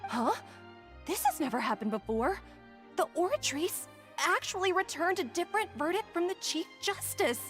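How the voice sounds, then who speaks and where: A woman playing a curious, earnest young onlooker in a video game, with a wondering, animated manner. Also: very high-pitched, moderate pace, full character voice. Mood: puzzled. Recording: long hall reverb, faint music.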